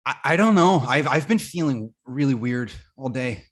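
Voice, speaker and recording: A man's voice: mid-range voice, animated, close to an everyday voice. A man playing an awkward, defiant guy turning dog-like, stammering in a comedy table read. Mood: uneasy. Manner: stammering, halting.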